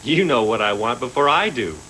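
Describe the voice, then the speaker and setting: An easygoing, kindly cybernetics doctor in an anime dub. His medium-pitched delivery is lively, and clear tape noise runs behind it. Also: fairly quick. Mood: assured. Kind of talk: anime English dub acting. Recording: noisy old VHS tape dub.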